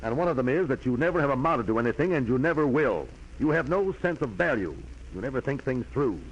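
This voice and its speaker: A man's voice: mid-range voice, animated, fast talker, slightly rough, dull audio. An actor playing a stern, domineering businessman, curt and formal, in a 1940s radio drama on a noisy old recording. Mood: scolding, cold. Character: stern, domineering businessman brother scolding his sibling.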